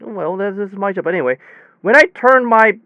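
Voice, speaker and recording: High-voiced, excitable man telling a rambling story. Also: a little fast, dry recording, muffled recording. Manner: rambling, excitable, hesitant repetitions. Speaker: air conditioning technician character telling a story. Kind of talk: acted one-sided phone call, casual storytelling.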